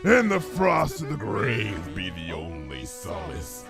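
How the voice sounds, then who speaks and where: Gravelly, slow-booming monster prophet of doom. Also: male voice, huge pitch swings, over music, full character voice. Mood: ominous, doom-laden. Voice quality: scraping, gravelly. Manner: slow, grandiose archaic proclamations. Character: monstrous male alligator proclaiming doom, ominous, archaic speech.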